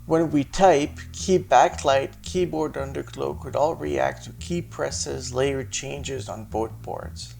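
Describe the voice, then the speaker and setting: A man with a slightly gravelly voice explains his hardware project in a measured, methodical tech demo narration. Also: medium pitch, a little mellow, soft background music, low-quality recording. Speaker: maker presenting his hardware and firmware project.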